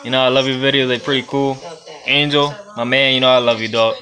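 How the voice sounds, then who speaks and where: A man's voice: medium pitch, fairly even intonation, quick pace, pushed and bright. A young man with a Puerto Rican accent gives a casual, rambling how-to vlog, filmed on a phone in an indoor reptile room. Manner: loose and rambling, with laughs and swearing. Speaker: reptile breeder and vlogger. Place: indoor reptile room lined with storage tubs. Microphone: phone held close at arm's length.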